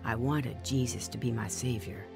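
A woman's voice: rumbling low, steady tone, normal speed, gravelly. A woman playing a grown woman reflectively and frankly telling her life story as radio drama narration. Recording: music under the voice.

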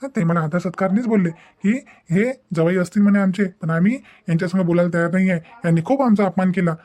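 A man's voice: sits high, quick pace, darkish tone, close to an everyday voice. A man voicing an earnest, conciliatory young newlywed husband in a cartoon family melodrama, explaining himself.

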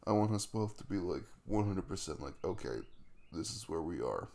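A man's voice: sits low, expressive, normal speed, vocal fry, a little mellow. A man acting an affectionate, earnest, chatty young crush in an intimate ASMR roleplay, rambling playfully in a casual way. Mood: casual, reassuring.

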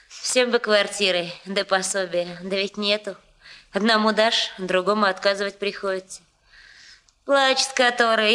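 An actress playing a warm, excitable young working woman in a Soviet radio drama, chatting in a colloquial, gushing way. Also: mid-range voice, smooth, natural voice.

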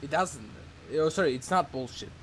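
A man chatting casually with a teammate while gaming, swearing freely in a halting way. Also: on the high side, animated, fairly bright tone, a little hiss or hum. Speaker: video game player.

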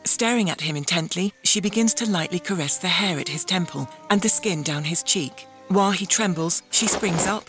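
A woman with a fairly low voice reads the scene descriptions for an audio drama. Her delivery is measured and descriptive, and music plays clearly behind her. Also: a bit bright. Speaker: narrator. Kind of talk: scripted narration of stage directions.

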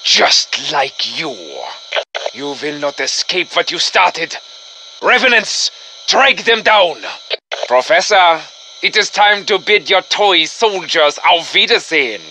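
Game villain: German-accented Nazi commander of the undead, piercingly bright and forceful, slow and gloating, male. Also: on the high side, animated, a little hiss or hum, heavily acted, rough recording. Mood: gloating contempt. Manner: menacing, pompous, gloating. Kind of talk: theatrical video game villain acting.

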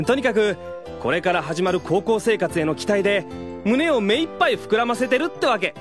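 A woman voicing a hopeful, easily flustered teenage boy in a casual, expressive audio drama style. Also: medium pitch, a little fast, music in the background, close to an everyday voice. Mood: hopeful narration. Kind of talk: scripted Japanese anime-style audio drama acting.

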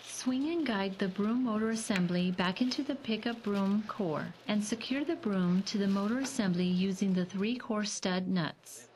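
A woman reading scripted step-by-step repair instructions as a voice-over, in a measured, even way. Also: medium pitch, slight background noise. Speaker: instructional narrator.

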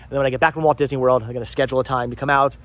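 A man in his thirties vlogging outdoors with the phone at arm's length, talking fast and rambling in an exasperated way, often repeating words. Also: medium pitch, fairly even intonation, a bit bright. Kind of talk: frustrated life-update vlog. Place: outdoors in a house yard. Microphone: phone camera held at arm's length.

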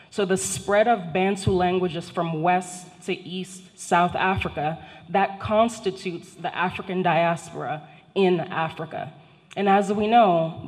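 Measured low-voiced woman lecturing in a reverberant hall. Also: darkish tone. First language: not English. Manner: formal, measured, addresses the audience directly. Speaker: guest speaker, professor. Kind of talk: prepared public lecture. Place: large indoor function hall, seated at a panel table. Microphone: handheld microphone.

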